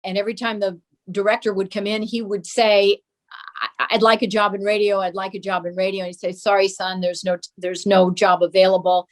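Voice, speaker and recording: Older female interview guest, medium pitch, measured and anecdotal, looking back on family history. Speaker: interview guest recalling a parent's radio career. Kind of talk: conversational reminiscence.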